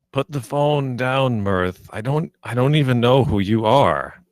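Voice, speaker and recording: Weary veteran male captain, read by an actor, exasperated, unhurried, expressive pitch, dry close sound, sci-fi comedy. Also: no echo. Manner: exasperated, sighing. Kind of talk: live comedy script table read.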